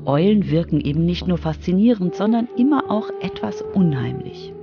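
A woman's voice: medium pitch, very animated, normal speed, dull audio, over music. A woman narrating a scripted children's radio feature as its reporter.

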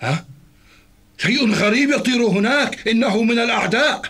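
Shrill, pompous cartoon governor. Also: male voice, lively intonation, normal speed, a bit bright, breathy edge, full character voice. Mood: alarmed suspicion. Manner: blustering, commanding. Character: pompous, panicky, bossy male city governor. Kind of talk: dubbed cartoon acting.

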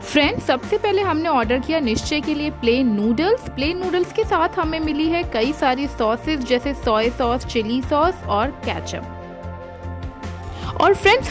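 Female vlog narrator, Indian accent, high-pitched and chirpy, reading scripted restaurant-review style voice-over over background music. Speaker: food and travel vlogger, channel host.

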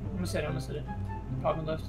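High male voice, quick delivery, slangy repeated callouts, gamer streaming, loud music. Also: little pitch movement. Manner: repeats short commands, slangy. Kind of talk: casual gameplay callouts and chat.

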